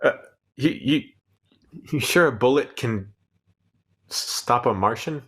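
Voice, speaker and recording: A man's voice: medium pitch, unhurried, fairly bright tone, slightly rough. A man playing a cautious, uneasy spaceship crewman in a comic radio play, stammering and hesitant. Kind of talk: cold-read comic radio sci-fi play.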